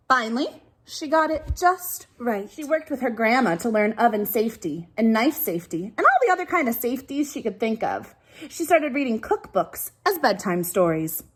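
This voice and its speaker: Animated, high-pitched woman reading a storybook. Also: husky. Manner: animated, emphatic delivery. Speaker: teacher reading storybooks to children. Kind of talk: expressive children's storybook read-aloud.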